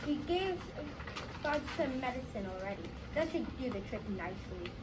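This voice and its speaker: A female reader playing a calm, reassuring hospital doctor in a school readers theater, halting a little, on a distant noisy mic. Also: high voice. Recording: distant mic, background noise.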